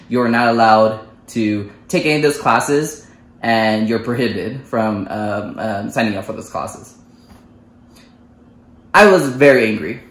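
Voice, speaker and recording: A man's voice: mid-range voice, a bit bright. A man in his thirties from the United States, telling a personal story to camera with many fillers and restarts. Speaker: vlogger. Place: small living room, indoors. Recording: echoey room.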